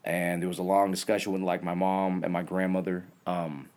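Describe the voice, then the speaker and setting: A man in his thirties who was born in Vietnam chats in an easygoing, slangy way. He tells stories and laughs often, in relaxed conversation. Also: low-pitched, fairly quick. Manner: laid-back slangy storytelling, laughs often. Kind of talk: casual chat.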